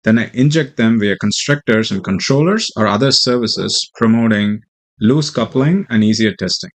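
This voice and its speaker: A man explaining technical material as a software developer, speaking hesitantly with frequent ums and pauses. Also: fairly low pitch. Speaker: software developer presenting a demo. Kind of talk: tech tutorial walkthrough and mock interview answers.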